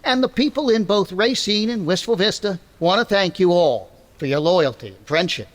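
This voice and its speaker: A man's voice: high voice, animated, normal speed. A man playing a blustering, cocky husband with folksy, slangy talk in a 1940s-style radio comedy. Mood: sincere. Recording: loud audience and ambient noise behind.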